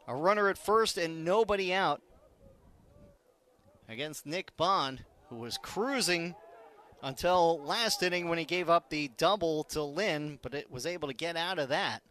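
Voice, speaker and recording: An American man calling live baseball play-by-play on the radio, measured between pitches and building to excited calls on big plays. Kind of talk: live radio sports commentary.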